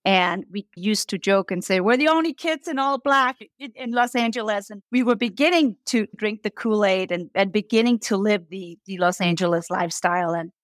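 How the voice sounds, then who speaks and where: A woman's voice: medium pitch, normal speed, hardly any pauses, dry recording. A woman from Denmark with a Danish accent, a company founder talking earnestly as a podcast guest and often repeating words as she starts a thought. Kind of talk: casual interview.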